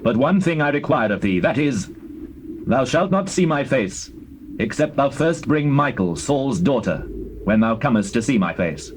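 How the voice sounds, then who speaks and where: A man's voice: mid-range voice, expressive, moderate pace, lightly acted. A man playing a grave, commanding king in a dramatized Bible reading, with weighty, declamatory delivery. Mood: stern. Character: King David, solemn, grave, commanding male monarch. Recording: noisy old cassette tape transfer.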